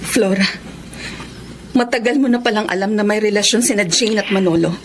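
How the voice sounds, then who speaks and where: A woman's voice: medium pitch, brisk, background noise, lightly acted. A woman playing an anguished, accusing middle-aged betrayed wife in a Filipino radio drama, tearful and confrontational, with a Filipino accent. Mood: cold accusation. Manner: tearful, confrontational, emotionally heightened. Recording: dramatic music behind the voice.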